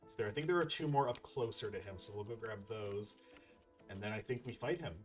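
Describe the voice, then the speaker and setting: A man's voice: fairly low pitch, brisk. A man giving casual, chatty let's play commentary, thinking aloud over game music. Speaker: gaming video host.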